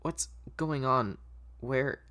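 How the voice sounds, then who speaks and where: Man voicing a frightened, high-pitched young woman. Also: very slow, a bit bright. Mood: anxious, shaky. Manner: hesitant, stammering, pleading. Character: frightened, anxious young woman patient being turned into doll.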